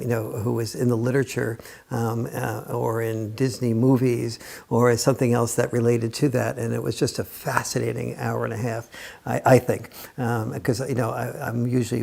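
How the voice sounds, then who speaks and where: A man talking reflectively in an interview, halting often as he searches for his words. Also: medium pitch, level tone, gravelly, a little mellow. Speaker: interview guest. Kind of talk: casual reflective interview talk.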